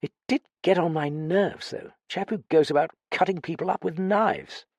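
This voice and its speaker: A man voicing a naive, flustered young gentleman with hesitant, stammering upper-class slang. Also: on the high side, moderate pace, gravelly, dry recording, studio quality, voice pushed up. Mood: chatty, sheepish. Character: plump young English gentleman, naive, flustered, rather foolish.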